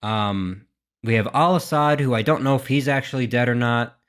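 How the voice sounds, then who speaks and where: A man's voice: medium pitch, moderate pace, fairly bright tone, clean tone. A man giving casual, unscripted reaction commentary as a gaming video host, thinking aloud and hesitating as he goes.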